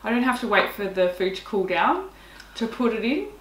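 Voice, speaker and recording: A woman's voice: mid-range voice, sing-song, a little fast, fairly bright tone. A woman, a guest chatting through practical kitchen tips at a home kitchen table. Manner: friendly and practical, explains step by step. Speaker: guest food and kitchen expert. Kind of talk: casual explanatory chat. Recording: roomy echo, faint background hum.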